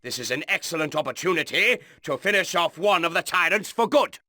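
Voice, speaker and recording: Stately, expressive military commander. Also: male voice, a little high, a little fast, dry recording, studio quality. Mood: resolute. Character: earnest noble male commander briefing his young lord. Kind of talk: scripted video game mission briefing.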